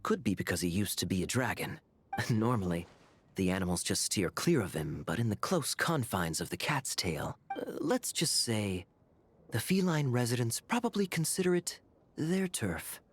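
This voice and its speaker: Smooth teasing knight, expressive sly delivery, game scene. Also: male voice, lowish voice, moderate pace, slight background noise. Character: wry, charming adult male knight. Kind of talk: game voice acting.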